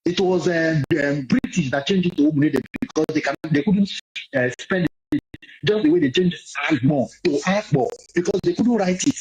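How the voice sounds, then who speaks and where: A man's voice: fairly high pitch, moderate pace, darkish tone, slightly rough, dull audio. A man making an impassioned, insistent speech, repeating his points for emphasis, heard as a played clip.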